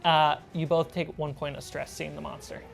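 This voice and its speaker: Woman game master w a lowish voice, casual narrating for players, bit of music behind. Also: level tone, moderate pace, gravelly.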